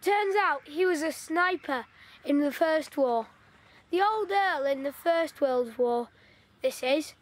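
A woman playing an eager, talkative village boy in wartime, telling stories and coaxing his friend. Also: high-pitched. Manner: eager, storytelling, pushy. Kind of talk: audio play acting.

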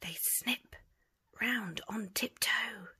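A middle-aged woman reading a picture book aloud as a bedtime story, with dramatic delivery and hushed asides. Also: mid-range voice, very slow, breathy edge, in a whisper.

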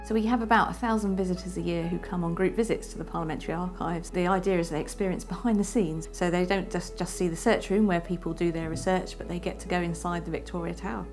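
A woman's voice: fairly low pitch, steady tone, quick pace, hardly any pauses, a little mellow. A woman archivist explaining her work in a matter-of-fact documentary interview. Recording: clear music underneath.

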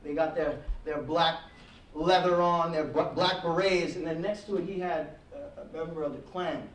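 A man's voice: on the high side, fairly even intonation, normal speed. A man in his thirties telling his personal story to a classroom audience at a heritage month event, standing away from the podium mic. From Trinidad. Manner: reflective storytelling, gestures while speaking, rising intensity. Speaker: guest speaker. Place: classroom with projector screen. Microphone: podium mic, speaker standing away from it. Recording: echoey room from far off.

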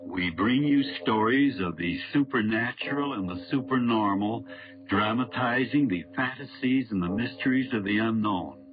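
A man hosting an old radio horror show, speaking in a solemn, deliberate, measured way. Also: fairly low pitch, music in the background. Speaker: host and announcer. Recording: muffled old radio recording.

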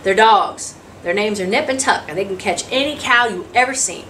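A woman voicing a curious, blunt, excitable homesteader boy in a story read aloud. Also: mid-range voice, normal speed, natural voice. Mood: blunt, correcting. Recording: distant mic, noisy room sound.

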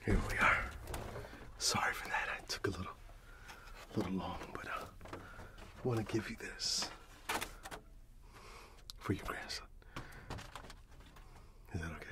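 A middle-aged man in an ASMR roleplay as a friendly candy seller, speaking softly and half in whispers to a customer, close to the mic. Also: low-pitched. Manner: soft-spoken, half-whispered, unhurried, friendly shopkeeper patter. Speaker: ASMR performer playing a candy shop keeper. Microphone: close mic, facing the camera at close range.

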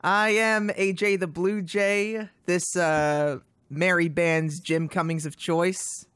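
A woman's voice: on the low side, steady tone, slow, fairly bright tone, clear, resonant tone, no room sound, clean studio sound. A woman voice actor chatting casually on a livestream. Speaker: amateur voice actor in a cast.

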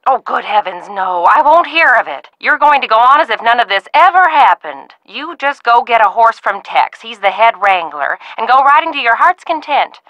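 A woman's voice: fairly high pitch, big pitch swings, normal speed, hardly any pauses, pushed and bright, dry recording, rough recording. An actress playing a chatty, motherly ranch-owner aunt in a video game, folksy and fondly scolding over the phone. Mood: brisk, friendly. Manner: folksy, talkative, fond scolding.

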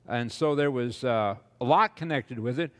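An older man lecturing on stage as a preacher to an audience, slow and rambling, with many "uh"s and repeated words. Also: mid-range voice, big-room sound. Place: large auditorium stage with audience.

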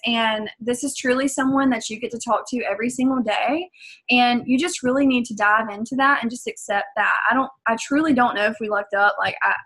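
Young Southern woman, a coach, chatty vlog delivery, a bit high-voiced. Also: fairly bright tone. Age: young adult. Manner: chatty, filler-heavy, earnest. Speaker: fitness business coach. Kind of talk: casual vlog talk to camera.

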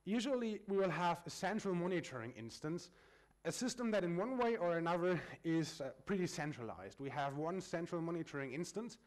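A man's voice: high voice, lively intonation, brisk. A man from Germany giving a technical conference presentation at a lectern, explaining in a friendly way with frequent filler sounds. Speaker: conference speaker.

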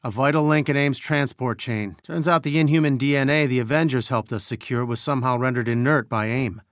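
A man's voice: medium pitch, brisk, no room sound, studio quality, lightly acted. A man playing an earnest scientist who leads a resistance, briefing a team in a businesslike, urgent way in a video game. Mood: steady, businesslike. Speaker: game character giving mission briefings. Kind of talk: video game mission dialogue.

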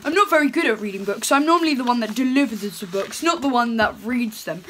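A young woman playing a jolly, chatty Father Christmas reading a storybook aloud at home, her voice pushed lower in a playful put-on. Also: expressive, fast, fairly bright tone, a little hiss or hum. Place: home room with curtains.

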